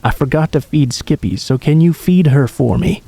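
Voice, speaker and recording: A man voicing a frightened little girl who fakes calm on a phone call, urgent and insistent. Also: on the high side, moderate pace, creaky, slightly rough. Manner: urgent, insistent, feigning cheerfulness.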